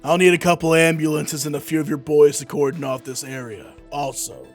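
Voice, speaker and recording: A man acts out a gruff, sardonic detective in a comic book audio drama. His voice sits fairly high, and he talks in blunt streetwise wisecracks over faint music. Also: a little fast, close to an everyday voice. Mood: brusque, sardonic. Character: gruff, wisecracking, world-weary male police detective. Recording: music behind the voice.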